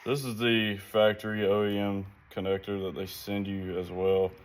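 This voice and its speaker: A man's voice: fairly low pitch, level tone, normal speed. A man chatting casually through a hands-on how-to vlog in a folksy, easygoing way, thinking aloud as he works. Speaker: vlogger doing a DIY car project. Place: outdoors at a vehicle, some wind. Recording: noisy outdoor recording with ambience.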